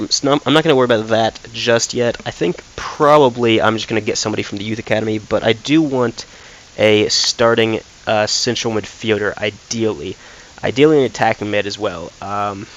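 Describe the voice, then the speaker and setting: Lowish voiced young guy thinking out loud over a soccer game, lots of ums, faint hiss. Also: low-quality recording. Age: young adult. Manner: rambling, thinks aloud with many fillers. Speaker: gaming commentator. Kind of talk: casual gameplay commentary.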